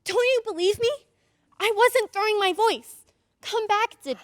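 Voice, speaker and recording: A woman's voice: extremely high pitch, moderate pace, dry recording, clean studio sound. A woman playing an earnest, insistent ten-year-old girl in an old-time radio drama, urgent and pleading.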